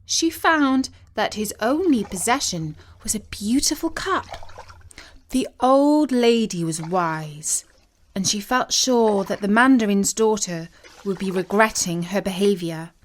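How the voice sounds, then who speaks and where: A woman narrating a scripted story as a storyteller, in an expressive, deliberate and solemn dramatic manner. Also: mid-range voice, slight background noise. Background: music.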